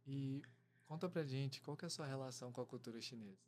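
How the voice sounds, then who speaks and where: A male podcast co-host with a mid-pitched voice. He welcomes the guest and keeps the talk moving with open, encouraging questions. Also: fast talker, darkish tone, slight background noise. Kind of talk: podcast interview.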